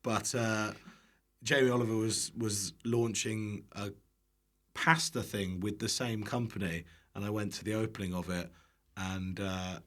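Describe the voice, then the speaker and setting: A man's voice: on the low side, steady tone, unhurried, a bit bright. Irreverent British man chatting casually. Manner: irreverent, joking, sweary. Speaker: podcast guest, online cook. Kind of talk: casual comedic chat.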